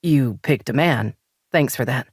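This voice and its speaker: A woman's voice: extremely low pitch, a little slow, a little mellow, breathy edge, no room sound, clean studio sound, full character voice. A woman reading as a defensive husband. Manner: defensive, sulky.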